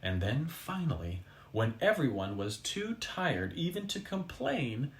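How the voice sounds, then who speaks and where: A person reading a children's storybook aloud expressively, with dramatic pauses and asides to young listeners. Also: medium pitch, sing-song. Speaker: storybook reader.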